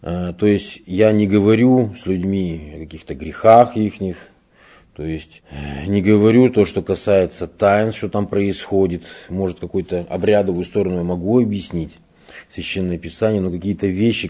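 A man working as a parish catechist, answering interview questions in an unhurried, explanatory way with frequent hesitations. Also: low-pitched, dark tone, breathy edge. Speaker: church catechist. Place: parish room.

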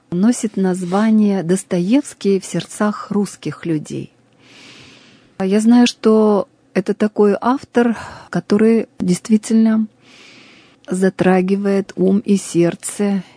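A woman hosting an interview program, asking measured leading questions. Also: medium pitch, warm tone, no room sound. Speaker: program host.

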